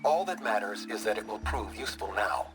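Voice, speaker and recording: An actor voicing a dry, deadpan, sardonic male guide in a comedic video game. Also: fairly low pitch, fairly quick, natural voice. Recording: music behind the voice.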